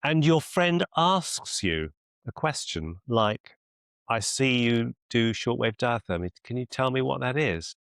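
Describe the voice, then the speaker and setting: A man coaching one-to-one on a video call as a mentor, deliberate and encouraging, often repeating phrases for emphasis. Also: medium pitch, animated, gravelly, fairly bright tone, dry recording, clean studio sound. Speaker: business mentor and clinician.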